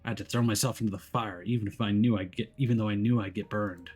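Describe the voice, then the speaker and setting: A man voicing a stubborn, hard-bitten male detective in a visual novel, with a gruff, terse delivery. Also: lowish voice, fairly even intonation, fast, a little mellow, faint music behind. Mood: defiant. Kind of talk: visual novel character reading.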